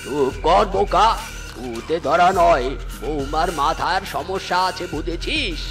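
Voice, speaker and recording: A brusque cartoon dad, acted by a man, scolding and exasperated. His voice sits a little high for a man, with music clearly behind it. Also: expressive, a little slow. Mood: dismissive. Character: gruffly scolding father in a comic animal cartoon. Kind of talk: cartoon voice acting.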